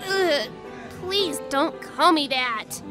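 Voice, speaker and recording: A woman's voice: high-pitched, animated, extremely slow, music in the background, exaggerated voice. A woman playing a cool, businesslike female bird gang member with a dry manner, in an audio drama. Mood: exasperated, polite. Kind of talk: amateur audio drama acting.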